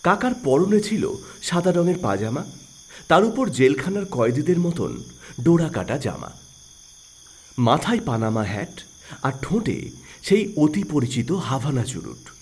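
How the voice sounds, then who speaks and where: A man's voice: fairly high pitch, sing-song, unhurried, long pauses, darkish tone, husky. A man narrating a scripted audio story in a dramatic storytelling style.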